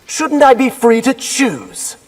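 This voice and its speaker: Calm earnest young ninja, high lively pitch, reverb and music behind. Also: male voice, takes it slowly, slight background noise, full character voice. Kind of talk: fighting game intro dialogue.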